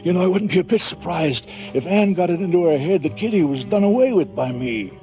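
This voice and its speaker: Cynical boastful mob boss monologue, swoopy mid voice, music under it, 70s radio play. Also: male voice, sits high, lively intonation, fairly quick, mellow. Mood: callous, matter-of-fact. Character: callous, scheming male crime boss. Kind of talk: 1970s stylized radio drama monologue.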